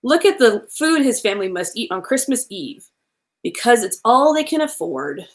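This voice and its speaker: A woman voicing a fierce giant male ghost in a children's storybook, with a booming, scolding manner. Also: very animated, moderate pace. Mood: stern, scolding. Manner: booming, scolding, big talk.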